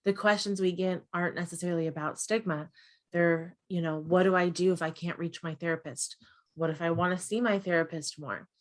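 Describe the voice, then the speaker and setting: Lower-voiced woman explaining earnestly. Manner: earnest, explanatory, tags sentences with "right?". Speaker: guest expert on mental health. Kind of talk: webinar conversation.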